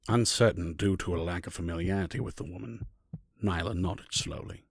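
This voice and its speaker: A man narrates a fantasy tale in a deep, creaky voice. The reading is scripted storytelling, captured close and dry. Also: brisk, dry recording.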